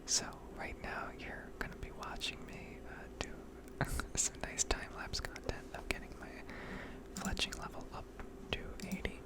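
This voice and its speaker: A woman whispering a casual, rambling ASMR narration over gameplay, with hesitant pauses. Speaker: video game streamer narrating gameplay.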